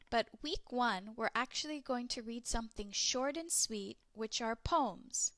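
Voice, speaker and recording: A woman teacher giving a recorded lesson to English learners, explaining slowly and clearly step by step. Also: a little high, fairly bright tone, no room sound.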